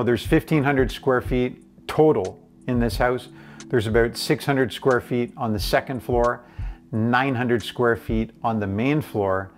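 Male presenter, forties, informal and keen explainer for a property walk-through, medium pitch, light music bed. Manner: enthusiastic, explanatory. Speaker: presenter, house tour guide. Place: furnished house interiors and outdoor deck.

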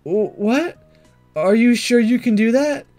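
A man voicing a shy, earnest, studious teenage schoolgirl with a soft, hesitant manner, in a visual novel. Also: very high voice, animated, unhurried, soft background music, voice pushed up. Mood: nervous. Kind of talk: visual novel dialogue read-along.

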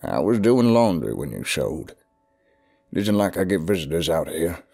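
A man's voice: sits low, expressive, moderate pace, creaky, darkish tone, faint music behind, full character voice. A man with a Southern US accent voicing a stern, ominous older recluse.

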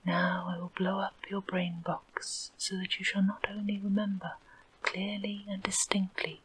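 A woman voicing the bossy wind spirit of a storybook, all in a soft whisper. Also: medium pitch, breathy edge, poor audio.

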